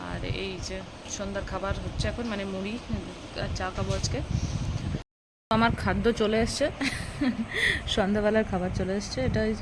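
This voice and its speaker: A woman's voice: medium pitch, little pitch movement, normal speed, pauses often. A young woman with a West Bengali accent, chatting casually to viewers as she narrates her daily vlog. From West Bengal, India. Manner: chatty, addresses viewers as friends. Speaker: vlogger. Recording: noisy outdoor traffic and rain ambience.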